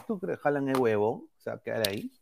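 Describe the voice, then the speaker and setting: A man on a football panel gives blunt, profane opinions. His voice is gravelly, with plenty of fry. He speaks in a casual, offhand way. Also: medium pitch, big pitch swings, fast talker, noisy background. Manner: blunt, swears freely.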